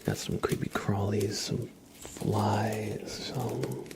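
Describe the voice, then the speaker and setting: A man's voice: fairly low pitch, fairly even intonation, very slow, background noise. A man hosting a live ASMR stream, chatting to viewers with playful, murmured role-play patter. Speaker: livestream host.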